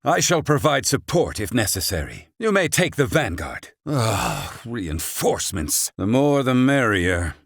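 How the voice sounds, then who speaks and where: Game casting: imperious middle-aged battle wizard, gravel-edged, unhurried and sardonic, grandly theatrical. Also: male voice, medium pitch, very animated, dry recording, clean studio sound, heavily acted. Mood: aloof, dry. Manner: pompous, condescending, archaic formal diction. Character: haughty, sardonic male battle mage, self-styled genius.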